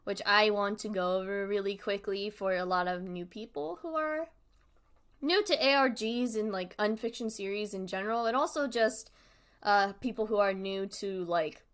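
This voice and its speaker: A woman's voice: mid-range voice, normal speed, fairly bright tone, clear, resonant tone. A woman hosting a livestream, talking casually to viewers in a chatty, emphatic way and repeating points for emphasis. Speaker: streamer hosting a discussion.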